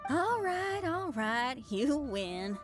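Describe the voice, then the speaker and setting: High lively young game heroine, frank type, easily startled, swings up and down. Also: female voice, very slow, music in the background, exaggerated voice. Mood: teasing. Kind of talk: video game voice acting.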